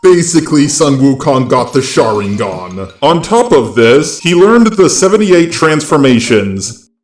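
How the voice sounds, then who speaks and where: A man's voice: on the high side, big pitch swings, measured pace, roomy sound. A male synthetic robot voice delivering scripted video narration in a matter-of-fact way. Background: background music.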